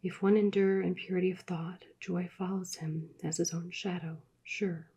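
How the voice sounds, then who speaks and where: A woman reading aloud in a soft, soothing, soft-spoken ASMR style that often drops into whispers. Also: on the low side, flat delivery, slightly rough. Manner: soft, slow, drifting into whispers. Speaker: ASMR reader.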